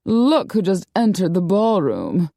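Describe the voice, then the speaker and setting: A woman's voice: lowish voice, very animated, unhurried, vocal fry, mellow, no room sound, clean studio sound, exaggerated voice. A snobbish, genteel lady vampire from a novel, voiced by a woman narrator, prim and easily flustered. Her affected speech swoops dramatically. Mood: fretful, genteel.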